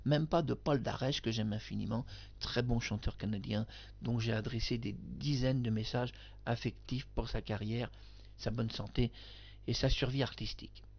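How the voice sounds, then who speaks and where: A man's voice: mid-range voice, creaky, highs cut off. A man giving rambling spoken commentary, emphatic and repetitive, talking straight to the singer he discusses. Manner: emphatic, addresses the singer directly, repeats himself. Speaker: video commentator.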